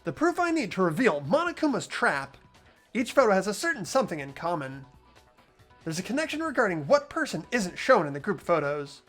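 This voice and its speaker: Lively-voiced host reading a game hero. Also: medium pitch, brisk, faint music behind. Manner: steady deductive reading, laughs between lines. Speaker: host and lead voice actor of a playthrough. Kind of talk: narrating and voicing a game protagonist, casual chat.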